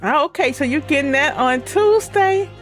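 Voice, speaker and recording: A high-pitched woman streamer chats and jokes playfully, her voice leaping up and down in a bouncy sing-song. Game music plays faintly underneath. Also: animated, moderate pace. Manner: chatty, laughing, playful teasing. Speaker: streamer playing a courtroom game. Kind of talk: casual chat with someone off-mic.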